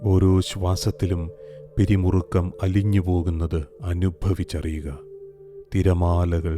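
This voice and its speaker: A man guiding a meditation, giving soft, calm instructions with long pauses. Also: low voice, music in the background. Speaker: meditation guide. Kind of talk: guided meditation narration.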